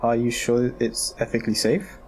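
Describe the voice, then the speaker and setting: A man's voice: medium pitch, steady tone, normal speed, darkish tone, slight background noise, close to an everyday voice. A man playing a cautious, inquisitive patient weighing up his options in an amateur audio drama. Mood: doubtful, curious.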